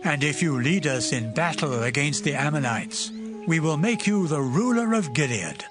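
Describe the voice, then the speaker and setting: A man's voice: on the high side, very animated, normal speed. A man playing the elders of a tribe, earnest and pleading, in a dramatized Bible reading. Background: background music.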